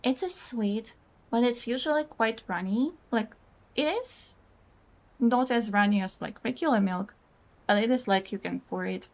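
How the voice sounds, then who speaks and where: A woman's voice: fairly high pitch, measured pace. A young woman whose first language is Russian, chatting casually while streaming a game, rambling with many "like"s, reading out chat messages and laughing often.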